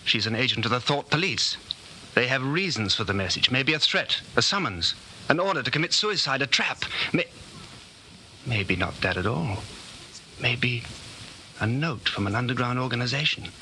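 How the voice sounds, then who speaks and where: A man's voice: medium pitch, expressive, normal speed, pushed and bright. An actor playing an anxious, suspicious man under a police state, in 1940s radio acting style. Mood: anxious, speculating. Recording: noisy vintage 1940s radio broadcast.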